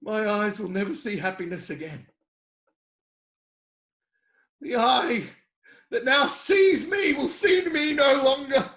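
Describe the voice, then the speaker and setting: An actor playing an anguished sufferer who pleads bitterly with God and friends in an epic, halting, impassioned dramatic scripture reading. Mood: bleak despair. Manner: impassioned, halting, swinging from low murmurs to anguished shouts. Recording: roomy, echoing space.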